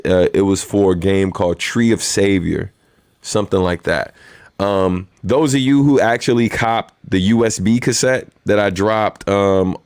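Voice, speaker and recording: A man with a very deep voice hosting a weekly live stream, chatting casually in a laid-back, rambling way with lots of fillers. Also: measured pace, a little mellow, clear, resonant tone, no echo. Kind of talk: casual livestream chat and Q&A.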